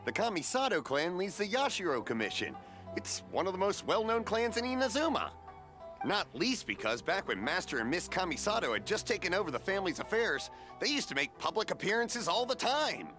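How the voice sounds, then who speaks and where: A jovial middle-aged festival vendor. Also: male voice, sits high, sing-song, a little fast, music in the background. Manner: jovial, chuckling, warm-hearted banter. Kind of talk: video game voice acting.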